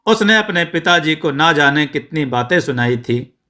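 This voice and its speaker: A man narrating a scripted moral story in a measured, deliberate way, phrase by phrase. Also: fairly high pitch, animated, pushed and bright. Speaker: narrator of devotional moral stories.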